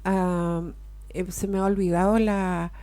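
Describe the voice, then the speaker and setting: A woman's voice: on the low side, flat delivery, takes it slowly, smooth. A woman with a Chilean accent hosting a casual radio talk show in a studio, chatting and reading sponsor spots. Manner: chatty, warm asides, occasional laughs and filler "eh".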